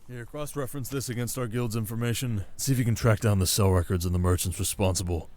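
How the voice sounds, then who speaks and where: Man acting a low-voiced, guarded merchant baron. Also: fast talker, a little mellow, close to an everyday voice. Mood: urgent, commanding. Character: shrewd, wary merchant baron, secretly ruthless husband. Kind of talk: ASMR audio roleplay acting.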